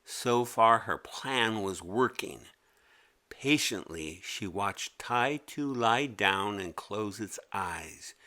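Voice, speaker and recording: A man narrating a children's story as a read-aloud, expressive and deliberate. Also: fairly low pitch.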